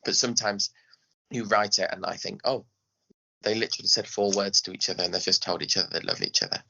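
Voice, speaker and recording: A man's voice: fairly low pitch, fast, gravelly, pushed and bright, lo-fi sound. A man chatting casually as an interview guest, speaking emphatically and often acting out little asides. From England. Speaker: podcast guest, pantomime director.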